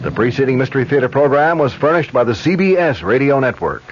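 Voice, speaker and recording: Smooth-voiced radio station announcer man. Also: mid-range voice, fast talker. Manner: smooth, even announcer delivery. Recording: muffled old radio broadcast with noise.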